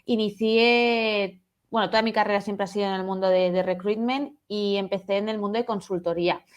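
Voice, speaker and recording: A woman's voice: medium pitch, fairly even intonation, a little fast, smooth, dull audio. A woman in her thirties from Barcelona, a recruiter introducing herself fluently on an online panel and mixing in English terms. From Spain, Barcelona. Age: 30s. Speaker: talent acquisition manager, guest.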